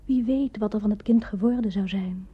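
A woman's voice: mid-range voice, moderate pace, slightly rough. An actress playing a grieving, haunted woman telling of a family tragedy in a radio drama. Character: grieving woman telling of her dead sister's starving child. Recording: dull muffled old radio recording.

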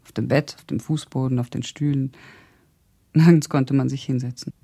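A woman's voice: rumbling low, steady tone, normal speed, creaky, warm tone, husky, full character voice. An actress playing an educated young Russian woman who recalls events reflectively and precisely in a radio drama. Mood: sober. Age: young adult. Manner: reflective, precise, descriptive storytelling. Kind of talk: radio drama monologue as recollection.

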